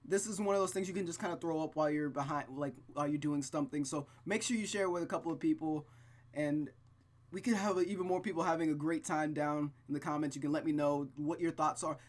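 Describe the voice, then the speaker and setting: An informal, excitable host reading a visual novel aloud and chatting casually to viewers, at a medium pitch. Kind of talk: casual let's-play narration and chat. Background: music with plucked guitar.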